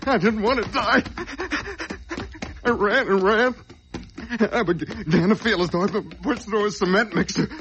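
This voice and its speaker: An actor playing a desperate, whining small-time crook on the run in an old radio crime drama, stammering, pleading and intense. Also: male voice, high-pitched, unhurried, pauses often, a bit bright. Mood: frantic. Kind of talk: old radio crime drama, dialogue and first-person narration. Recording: noisy vintage radio drama recording.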